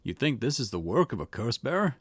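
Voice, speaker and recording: A man voicing an excitable junior police detective, a reactive and casual sidekick in a mystery game. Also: mid-range voice, fairly quick, husky, no echo. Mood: urgent, coaxing.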